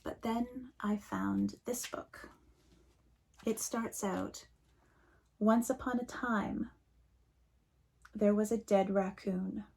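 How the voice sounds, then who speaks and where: A woman minister giving a reflective sermon, speaking slowly and softly, often dropping to a near whisper. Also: medium pitch, steady tone, gravelly, a little hiss or hum.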